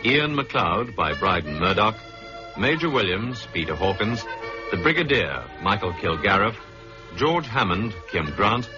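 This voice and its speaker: A man with a British accent announcing closing credits in a formal, measured, scripted style. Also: lowish voice, sing-song, music in the background. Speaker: radio announcer. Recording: muffled 1960s radio recording.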